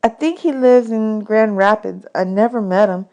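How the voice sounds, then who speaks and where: A woman's voice: mid-range voice, normal speed, warm tone, close to an everyday voice. A woman voicing a polite, earnest young orphan boy in a storybook, in a plain folksy manner.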